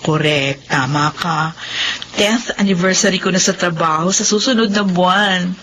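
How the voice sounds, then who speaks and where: A woman's voice: on the low side, normal speed, a bit bright, lightly acted. Woman acting practical widowed mother, middle-aged, guarded, Filipino drama, noisy background. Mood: delighted. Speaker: actress in a Tagalog drama.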